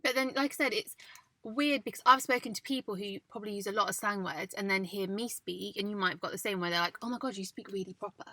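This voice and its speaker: A woman's voice: mid-range voice, fast talker, bright, forceful tone, dry recording. A woman from England co-hosting a casual chat in a parked car, giggly and chatty. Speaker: podcast co-host.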